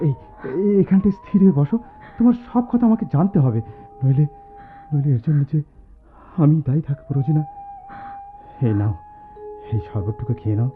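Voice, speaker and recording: A male actor performs a distraught young man in a radio play, pleading with big swings of pitch. His delivery is theatrical and stammering. The recording is thin and phone-like, with music behind. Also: expressive, brisk, long pauses, lightly acted. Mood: pleading, soothing. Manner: dramatic, pleading, stammering repetitions. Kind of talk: Bengali radio drama acting, theatrical.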